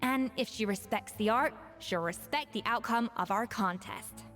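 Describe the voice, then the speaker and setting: A woman voicing a proud, exacting young female master chef in a video game, crisp and self-assured. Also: fairly high pitch, normal speed, fairly bright tone, lightly acted. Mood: determined. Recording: game music behind voice.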